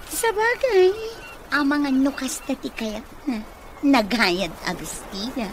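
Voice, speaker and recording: A vain, whiny young woman played by an actress speaks in a high voice at a leisurely, drawn-out pace. It is haughty radio comedy acting, with faint noise behind it. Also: sing-song, full character voice, low-quality recording. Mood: dreamy, whiny. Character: vain young office woman smitten with her boss.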